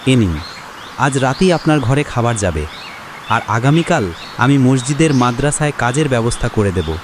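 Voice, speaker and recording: A man playing a kind, respected village imam in a cartoon moral story, calm and reassuring in a preacherly way. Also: medium pitch, normal speed, close to an everyday voice. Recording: noisy track with birds and insects.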